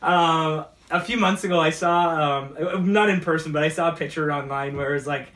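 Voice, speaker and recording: Casual talk show host, medium pitch, rambling hesitant delivery, distant mic. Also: steady tone, moderate pace, a bit bright. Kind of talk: casual chat.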